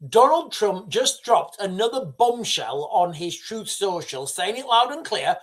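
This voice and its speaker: A man's voice: high-pitched, measured pace. A middle-aged man hosting a casual news livestream, talking straight to his viewers with many restarts and asides. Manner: excitable, addresses viewers directly, rambling with restarts.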